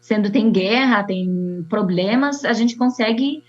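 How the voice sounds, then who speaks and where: A young woman from Syria with an Arabic accent, an architect telling her story slowly and haltingly, with many fillers. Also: mid-range voice. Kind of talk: personal testimony. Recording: dull lo-fi sound.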